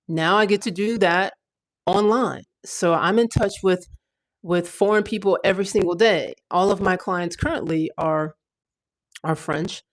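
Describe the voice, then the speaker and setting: A woman in her fifties hosts a casual livestream, speaking in a slightly low voice. Her talk is chatty and rambling, full of fillers and restarts. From United States. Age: 50s. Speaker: livestream host.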